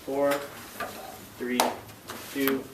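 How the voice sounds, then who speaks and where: A man's voice: medium pitch, completely flat delivery, extremely slow. A man working as an elementary school teacher, giving step-by-step instructions and reading aloud to a class. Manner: clear step-by-step directives, checking understanding. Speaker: fifth grade teacher. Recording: roomy classroom, distant mic, faint noise.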